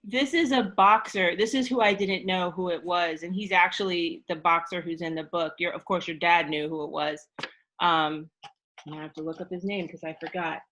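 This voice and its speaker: A woman's voice: on the low side, steady tone, moderate pace, a bit bright. A woman hosting an interactive storytime lesson, prompting her listeners with questions as she talks through a book. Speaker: storytime host and teacher. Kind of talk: interactive read-aloud discussion.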